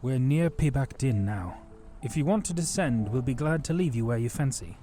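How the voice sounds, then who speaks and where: A man's voice: mid-range voice, expressive, moderate pace, dark tone, soft background music, voice pushed up, close to an everyday voice. A man voicing a principled, dignified knight in a fantasy audiobook, speaking in a stern, formal and earnest manner. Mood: slightly sour. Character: dignified, principled lawful knight, scolding but courteous.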